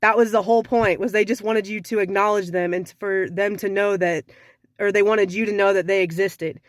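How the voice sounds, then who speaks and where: Young woman, slightly raspy, frank and sassy, casual advice talk, dry close sound. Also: mid-range voice, steady tone, a little fast, no room sound. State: slightly raspy from sinus trouble. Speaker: vlogger giving dating advice. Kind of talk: casual direct-to-camera talk.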